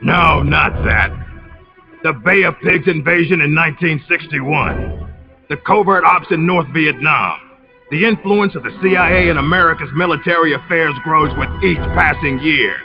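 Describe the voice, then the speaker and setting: An actor playing a scheming, bitter military officer in a video game cutscene, talking in a condescending, theatrical, taunting way. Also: male voice, fairly high pitch, animated, normal speed. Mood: smug explaining. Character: smug, scheming traitorous male military officer, bitter and mocking. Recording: muffled game audio under loud music.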